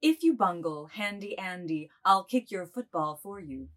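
A woman's voice: on the low side, animated, moderate pace, a bit bright. A woman playing a massive, imperious middle-aged brothel madam in a dramatised literary reading. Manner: imperious, commanding.

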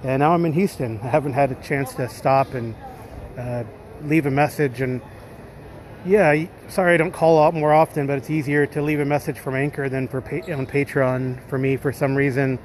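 Man, mid-range voice, casual caller message, rambling and halting, faint street noise. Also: mid-range voice, steady tone, darkish tone.

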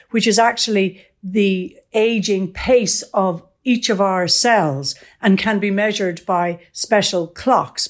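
Woman professor explaining science. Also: medium pitch. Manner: articulate, explanatory, enthusiastic. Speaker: professor, interview guest. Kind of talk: expert explaining in an interview.

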